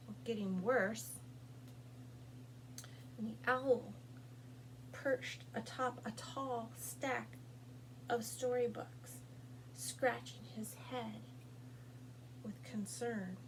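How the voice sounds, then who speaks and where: Unhurried woman reading a children's picture book. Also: a little high, slight background noise, poor audio. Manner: deliberate, expressive phrasing with pauses for young listeners. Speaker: storytime reader at a public library.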